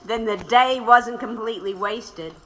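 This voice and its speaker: An actress playing a defiant, sharp-tongued 1880s anarchist speaker in an audio drama. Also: female voice, a little high, measured pace, slight background noise. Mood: bitter.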